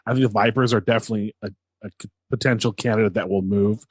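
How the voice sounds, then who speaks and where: A man co-hosting a sports podcast, giving casual commentary with a halting delivery that repeats words. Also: fairly low pitch, steady tone, a little mellow, breathy edge, no room sound.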